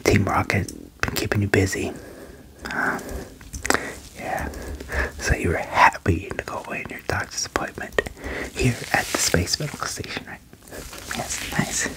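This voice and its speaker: A young man whispers gently and reassuringly in an ASMR medical roleplay as a doctor, close to the microphone. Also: fairly low pitch, poor audio. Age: young adult. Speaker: ASMR performer role-playing a doctor. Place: small indoor room. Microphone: close desk mic with furry windscreen.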